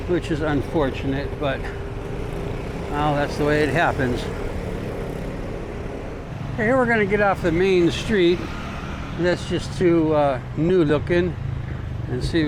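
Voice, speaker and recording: An American man doing a casual, rambling travel vlog while walking, talking in an informal, folksy way. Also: fairly high pitch. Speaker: travel vlogger. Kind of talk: casual rambling walk-and-talk chat. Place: outdoors, walking along city streets. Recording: clear outdoor street and traffic noise.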